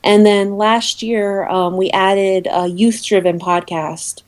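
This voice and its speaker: A woman speaking as an interview guest, explaining things informally in a halting way with many ums. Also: mid-range voice, steady tone, darkish tone. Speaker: interview guest, awards organiser.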